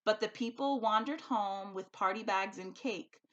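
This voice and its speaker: A woman's voice: mid-range voice, unhurried, muffled recording. A woman teacher speaking expressively and emphatically, as in a storybook read-aloud for a school lesson. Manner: animated, emphatic.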